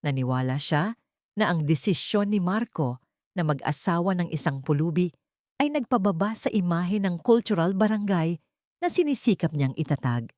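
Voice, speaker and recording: A woman narrates a scripted drama as an audio storyteller. Her voice sits on the low side for a woman. The sound is dry, with very little room in it. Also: expressive, normal speed, darkish tone, no echo.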